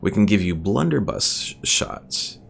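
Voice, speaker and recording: Deep, gravelly male game streamer. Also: sing-song, a little slow, a little hiss or hum. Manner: thinking aloud, explaining game mechanics. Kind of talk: casual live gameplay commentary. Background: game music.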